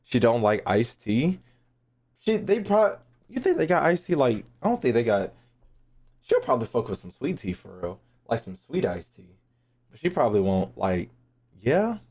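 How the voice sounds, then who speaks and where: A man's voice: mid-range voice, very animated, normal speed, a little mellow. A man doing casual streamer reaction commentary, with slangy, profane and incredulous asides. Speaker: streamer reacting to game lore.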